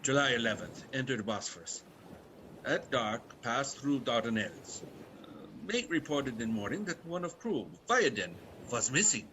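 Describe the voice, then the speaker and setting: A man's voice: mid-range voice, lively intonation, moderate pace, bright, forceful tone, low-quality recording. A man playing a grim, dutiful and frightened sea captain in a radio play, narrating in terse phrases. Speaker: actor. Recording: faint background noise.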